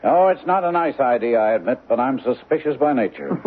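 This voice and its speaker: An actor playing a shrewd, suspicious American consul in an old-time radio drama, probing and wry. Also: male voice, mid-range voice, lively intonation, a little fast, natural voice. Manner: probing, matter-of-fact, wry. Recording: muffled old radio recording.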